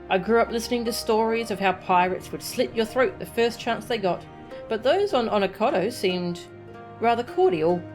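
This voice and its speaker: A woman voicing a gentle young woman from under the sea, reading her game lines in a soft, thoughtful way. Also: mid-range voice, lightly acted.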